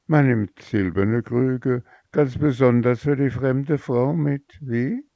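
A man voicing a rude, haughty elder daughter who answers with scorn, in a slow storybook reading. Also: medium pitch, steady tone, mellow, no room sound, studio quality, voice pushed up, lightly acted. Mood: scornful. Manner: sullen, scornful.

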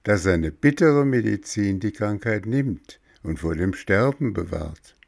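A man voicing a patient, motherly storybook fairy who admonishes gently in a measured way. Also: lowish voice, animated, creaky, no room sound, studio quality, pitched above the performer's own voice, heavily acted. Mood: admonishing. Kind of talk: storybook character voice.

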